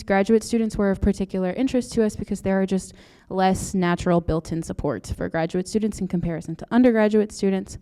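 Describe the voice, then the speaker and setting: A soft-spoken young woman from Brazil, a doctoral student giving an earnest presentation into a microphone in a lecture room. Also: mid-range voice. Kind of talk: conference presentation. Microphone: handheld or podium mic.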